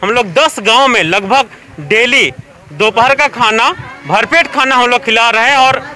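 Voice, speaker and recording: A man's voice: extremely high pitch, unhurried, pushed and bright. A man giving an interview statement as a volunteer, outdoors.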